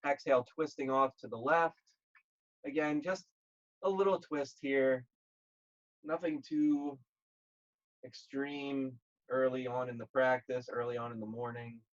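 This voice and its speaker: A man leading a guided yoga class, speaking gently and calmly in short, measured phrases with pauses. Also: medium pitch, lo-fi sound. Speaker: yoga instructor.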